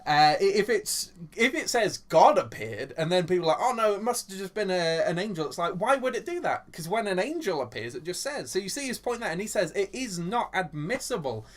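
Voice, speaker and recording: A man's voice: high-pitched, big pitch swings, brisk, few pauses. An enthusiastic man with an English accent, chatting about church history as a co-host, with lots of restarts. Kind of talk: casual Bible teaching chat.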